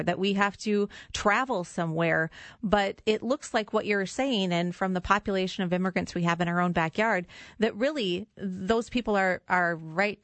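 Woman, Norwegian Minnesotan accent, radio host, friendly, laughs easily, mid pitch, dry close sound. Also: no room sound. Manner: friendly, laughs easily, reads the guest's introduction.

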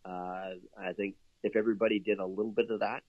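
A cheerful, chatty man from Canada speaks as an interview guest. His voice sits a little low and sounds very dry, with no room around it. The line is slightly dull and lo-fi. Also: level tone, fast, no room sound. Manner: upbeat, chatty, laughs often, many fillers. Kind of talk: casual interview talk.

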